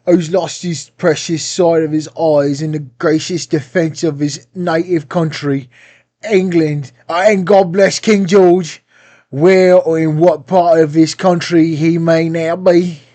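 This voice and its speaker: A cruel, soft-spoken blind old beggar, high and drawn out in a wheedling sing-song. The voice is slow and heavy with menace. It sounds close and dry, with no room around it. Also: male voice, fairly even intonation, no echo. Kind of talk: audiobook character voice.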